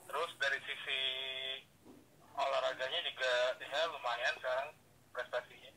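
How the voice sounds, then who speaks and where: A young man giving casual, halting answers as a student being interviewed over the phone. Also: medium pitch, little pitch movement. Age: young adult. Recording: noisy, sharp telephone line.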